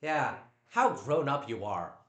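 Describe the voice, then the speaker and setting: A man with a naturally loud voice talking on a live stream at home, defensive and stammering over repeated words. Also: medium pitch, sing-song, takes it slowly. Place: at home in a downstairs room. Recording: echoey room.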